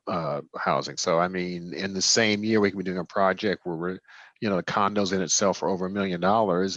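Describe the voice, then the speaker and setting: A man's voice: sits low, fairly bright tone, no room sound, highs cut off. A man in his sixties from Washington, D.C., answering interview questions conversationally as a real estate developer, explaining things through personal anecdotes. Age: 60s.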